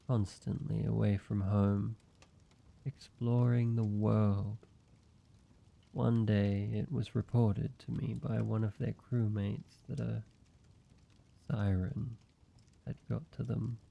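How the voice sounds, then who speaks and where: A man playing a lonely, courteous, softly wry vampire in a cozy audio roleplay, speaking in a measured, intimate way with pauses. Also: fairly low pitch, gravelly, highs cut off, exaggerated voice. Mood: wistful, grieving. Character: lonely, gentle, teasing male vampire, centuries-weary and courteous. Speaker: amateur voice actor. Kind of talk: cozy scripted audio roleplay, addressed to one listener.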